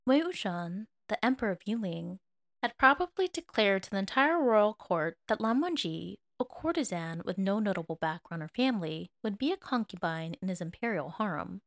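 A woman's voice: mid-range voice, sing-song, normal speed, no echo. Woman narrating fiction in a dry space. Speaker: audiobook narrator. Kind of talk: expressive scripted fiction narration.

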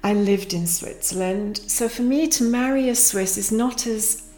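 A woman speaking as a therapist, slowly and reflectively guiding a conversation. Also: on the high side, mellow, reverberant, faint music behind. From Pakistan.

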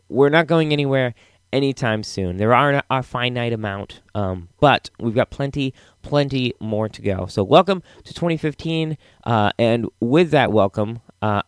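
A man's voice: medium pitch, clear, resonant tone, no echo. A man giving a casual, informal podcast introduction with a somewhat hesitant delivery. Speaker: podcast host.

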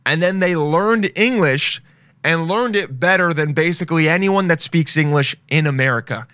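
A man's voice: a little high, normal speed, bright, forceful tone, clear, resonant tone, dry recording, studio quality. A young man hosting a casual podcast, rambling and joking as he browses shopping sites and reads reviews aloud. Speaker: podcast host.

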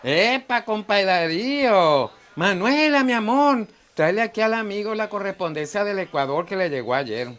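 A man acts out a jovial Venezuelan bar owner for a comic radio soap. His voice sits fairly high. He is bossy yet affectionate, full of folksy exclamations. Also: animated, moderate pace, hardly any pauses, a bit bright. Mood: hearty, bossy. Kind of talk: humorous radio soap acting.

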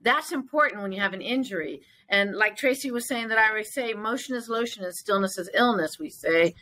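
A woman with a bright, forceful voice and an ordinary mid-range pitch talks as a fitness trainer being interviewed. She is earnest and emphatic, pressing her points in an encouraging, conversational way. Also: animated, a little fast, rough recording. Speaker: fitness trainer and gym owner, interview guest.

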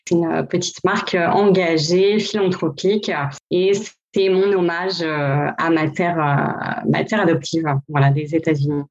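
Woman, 30s, interview guest: low voice, halting, lots of fillers, dull lo-fi recording. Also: steady tone. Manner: hesitant, many fillers. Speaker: podcast guest, brand founder. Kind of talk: casual interview talk. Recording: dull lo-fi sound.

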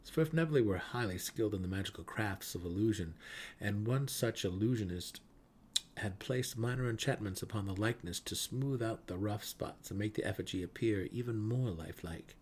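A man's voice: lowish voice, normal speed, a little mellow, slight background noise. A man giving a dramatic narration of a fantasy novel as an audiobook reader. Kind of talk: dramatic reading of fantasy fiction.

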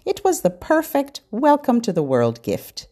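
A mature woman hosts a podcast for babies and their parents. She speaks at an unhurried pace, and her pitch moves up and down in an animated, expressive way. Her delivery is scripted and gently instructional, pitched at a very young audience. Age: middle-aged or older. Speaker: children's podcast host. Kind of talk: scripted, child-directed narration.

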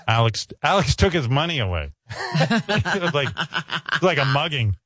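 A man's voice: on the high side, very animated, a little slow, a bit bright, husky, no room sound. A male radio host in casual, profane banter, indignant and often laughing.

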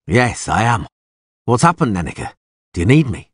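A man voicing a calm, dry-humoured warrior in a story, terse and understated. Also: lowish voice, normal speed, husky, no room sound. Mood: terse, weary.

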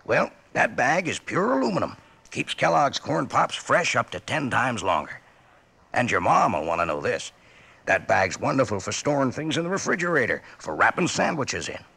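A man with an American accent doing a folksy, jokey 1950s radio cereal commercial with drawn-out emphasis. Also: medium pitch, sing-song, vocal fry, sharp tone, husky. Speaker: commercial pitchman. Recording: vintage radio recording.

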